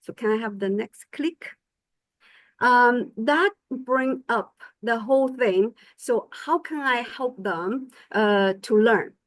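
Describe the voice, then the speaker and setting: A woman's voice: on the high side, slow, no echo. A middle-aged woman whose first language is Chinese, giving a conference presentation as medical faculty, hesitant with many fillers and restarts. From China.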